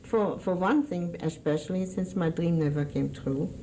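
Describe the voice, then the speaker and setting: Older woman, sixties, German accent, low mellow voice, hesitant oral-history interviewee. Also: normal speed, a little hiss or hum, rough recording. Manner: hesitant, searching for words.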